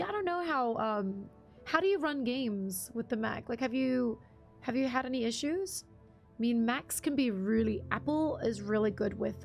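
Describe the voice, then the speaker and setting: A young woman with a husky voice, chatting casually with viewers on a game stream, rambling and restarting her sentences. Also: fairly high pitch. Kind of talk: casual live-stream chat reading viewer comments.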